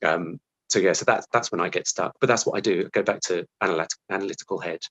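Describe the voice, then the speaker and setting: A man's voice: low voice, fast talker, fairly bright tone. A man talking casually as an interview guest about his craft, thinking aloud and restarting his phrases as he goes.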